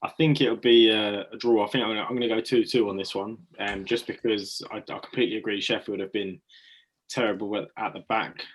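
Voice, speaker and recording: A man giving football predictions in a casual chat, measured with frequent ums. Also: on the low side, steady tone, breathy edge, rough recording. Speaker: guest pundit.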